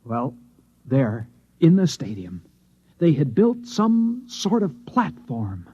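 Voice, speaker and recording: An actor playing a dismal, shaken Martian man, halting and earnest, in an old-time radio drama. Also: fairly high pitch, huge pitch swings, dark tone, husky, exaggerated voice. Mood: haunted, distressed. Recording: dull old radio recording.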